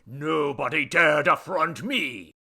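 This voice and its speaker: A man voicing a pompous, irritable ancient Babylonian god in a story, with grandiose, formal declamation and a rusty, booming voice. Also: slow, gravelly, sharp tone, husky, exaggerated voice. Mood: grandiose, boastful. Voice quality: rusty booming foghorn bass.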